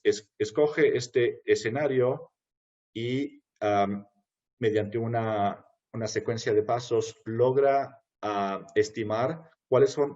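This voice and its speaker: A man wrapping up a webinar talk, hesitant, with many pauses and restarts. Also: fairly low pitch, a little mellow. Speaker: webinar speaker. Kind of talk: closing a presentation. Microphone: video call. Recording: dull video-call audio.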